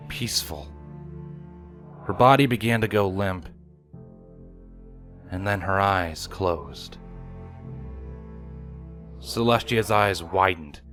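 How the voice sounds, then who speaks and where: A man's voice: on the low side, a bit bright. A man reading a dramatic scripted story as its narrator. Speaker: fan fiction narrator.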